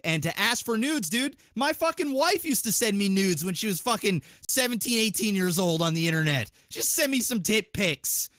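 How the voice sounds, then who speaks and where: A man talking as a podcast host, giving a casual, opinionated monologue in an animated, rambling, profanity-heavy and argumentative way. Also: high-pitched, normal speed, few pauses, dry recording, clean studio sound.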